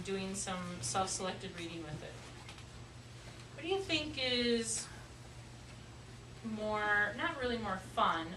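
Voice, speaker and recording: Lady teacher talking to little kids, encouraging, asks questions, pauses a lot, far from the mic w/ room noise. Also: medium pitch, sharp tone. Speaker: elementary school teacher. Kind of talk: classroom lesson talk to young children. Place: indoor classroom. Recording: roomy, noisy classroom, distant mic.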